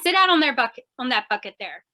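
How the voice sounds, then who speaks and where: A woman acts out an eager, bossy lady reporter from an old radio comedy-thriller. Her voice is very high and sharp, with big melodramatic swings. She gushes and insists with total confidence. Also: very animated, quick pace, full character voice. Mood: bossy urgency. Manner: gushing, theatrical, insistent.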